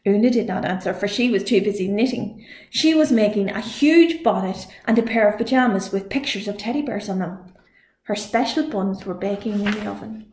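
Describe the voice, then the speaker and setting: Woman reading a story, echoey room. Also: fairly high pitch. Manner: expressive storytelling. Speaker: librarian reading a picture book. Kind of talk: children's storytime read-aloud.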